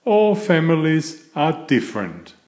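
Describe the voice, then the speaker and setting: Slow-paced man reading a children's storybook. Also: on the high side, sing-song, a little mellow. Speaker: storyteller and channel host. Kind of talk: read-aloud children's storybook narration. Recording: roomy, echoing room sound.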